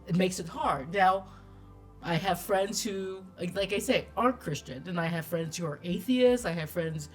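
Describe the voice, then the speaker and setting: A woman's voice: on the low side, normal speed, soft background music. A woman co-hosting a casual discussion, tentatively thinking aloud as she talks. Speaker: talk show co-host.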